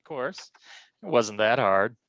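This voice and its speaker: An actor playing a hard-boiled, blustering and rather dim private detective, talking tough slang in a comic noir staged reading. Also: male voice, medium pitch, lively intonation, measured pace, vocal fry, fairly bright tone, no echo, muffled recording. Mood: grudging swagger.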